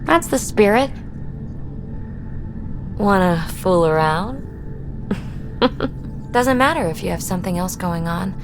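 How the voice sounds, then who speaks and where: An actress playing a restless, flippant young loner scientist in a video game, with halting, expressive delivery. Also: female voice, mid-range voice. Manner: halting, expressive, breaking into breathy asides. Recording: music behind the voice.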